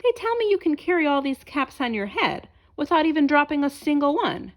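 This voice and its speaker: A woman's voice: high voice, sing-song, a little fast. A woman voicing a jovial, commanding circus boss in a storybook read-aloud. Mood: hearty, admiring. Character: jovial circus boss, a grown man in charge.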